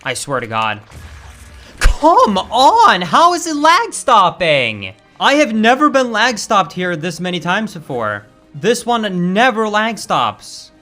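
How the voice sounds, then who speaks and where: A young man with a Swedish accent, chatting casually and reacting loudly while he streams a game. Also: high voice, huge pitch swings, slow pace, soft background music. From Sweden. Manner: casual, chatty, swears and flares up over game lag. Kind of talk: live-stream gameplay commentary.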